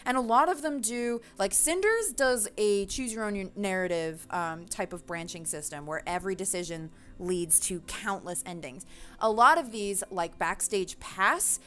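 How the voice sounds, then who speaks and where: A woman's voice: medium pitch, expressive, measured pace, fairly bright tone. A woman giving a casual, opinionated rant to viewers, passionate and emphatic, with loose swearing, as a gaming video creator.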